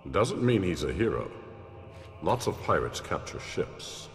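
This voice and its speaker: An actor playing a haughty, condescending mage with dry, formal delivery in a fantasy video game. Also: male voice, low-pitched, sing-song, unhurried, in a large hall. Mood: dry disdain. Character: haughty, dry-witted male mage, scholarly and arrogant. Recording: game music behind the voice.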